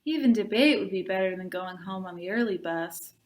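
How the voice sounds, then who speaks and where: A woman's voice: mid-range voice, brisk, lightly acted. A woman voicing a sardonic, blunt schoolgirl from a children's book, with a dry, pointed delivery.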